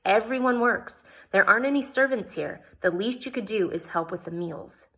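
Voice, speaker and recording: A woman's voice: mid-range voice, animated, normal speed, a bit bright. A woman reading aloud and voicing a patient, matter-of-fact young man. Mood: firm.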